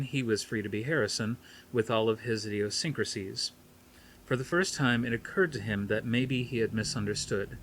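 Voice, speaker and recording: A man's voice: medium pitch, a bit bright, a little hiss or hum, poor audio. A man reading a novel aloud as scripted audiobook narration. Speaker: audiobook reader.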